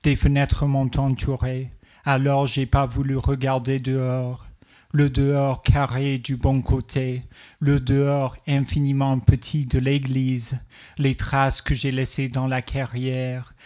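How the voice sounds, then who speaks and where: A man in his thirties from the Toronto area of Canada performs spoken-word poetry in measured short phrases with deliberate pauses. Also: medium pitch, darkish tone. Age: 30s. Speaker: poet and performer.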